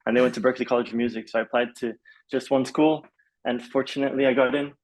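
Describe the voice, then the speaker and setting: Male podcast guest, fry-heavy voice, loose and halting conversational delivery, thinking aloud as he tells his story. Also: medium pitch, fairly even intonation, poor audio. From Malaysia. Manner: rambling, hesitant, peppered with "like" and "you know". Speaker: podcast guest, film composer. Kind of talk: casual interview storytelling.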